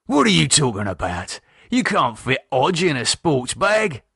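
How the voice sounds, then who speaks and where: A man's voice: fairly high pitch, sing-song, unhurried, vocal fry, fairly bright tone, slightly rough, voice pushed up, full character voice. A man voicing a defiant, swearing cockney thug with a Cockney accent. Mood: angry. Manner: aggressive, swearing.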